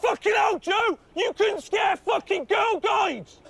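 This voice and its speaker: An army instructor shouting aggressive, profane drill orders at recruits. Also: male voice, extremely high pitch, slow pace, vocal fry, a crowd in the background.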